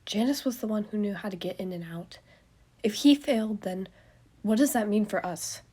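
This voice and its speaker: A woman voicing an anxious young man who spirals into panicked questions and angry shouting, her voice husky. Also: mid-range voice, normal speed, close to an everyday voice.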